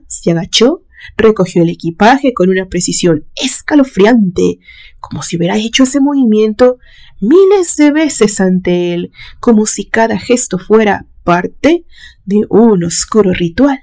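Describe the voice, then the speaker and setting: A woman reading a literary suspense story aloud in a measured, dramatic storytelling manner. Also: medium pitch, lively intonation, darkish tone. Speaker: narrator reading a horror story.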